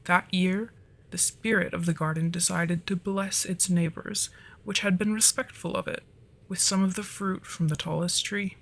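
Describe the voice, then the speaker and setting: Performer of unclear sex as a soft, creaky-voiced dragon storyteller. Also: mid-range voice, steady tone, moderate pace, close to an everyday voice. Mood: gentle, storytelling. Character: flirty, easily flustered dragon storyteller. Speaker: storyteller in an audio roleplay. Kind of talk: ASMR roleplay, soft storytelling.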